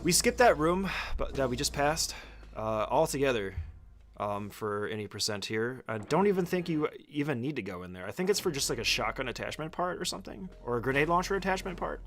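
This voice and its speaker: A man's voice: mid-range voice, very animated, fairly quick, a bit bright. A man doing casual, conversational speedrun tutorial commentary, explaining each step in an informal way.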